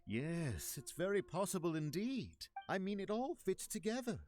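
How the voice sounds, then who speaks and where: An excitable gossiping townsman from an anime game. The voice is high and jumps around in pitch. Faint music plays underneath. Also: moderate pace. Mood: agitated.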